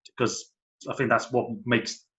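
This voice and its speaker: A man's voice: mid-range voice, flat delivery, unhurried, fairly bright tone. A man hosting a casual interview, thinking aloud in a tentative way and putting questions to guests. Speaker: host and interviewer. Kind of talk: casual chat.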